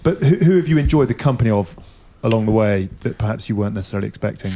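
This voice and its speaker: A man's voice: lowish voice, lively intonation, racing, noisy background. A man hosting a rugby podcast, asking long, rambling questions in casual chat. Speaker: podcast host.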